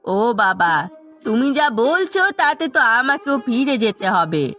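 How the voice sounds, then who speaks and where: Woman playing a high-voiced, chiding village neighbour. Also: very animated, unhurried, sharp tone, faint music behind, full character voice. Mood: curious. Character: caring, firm village woman, grandmother's neighbour and friend. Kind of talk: Bengali cartoon voice acting.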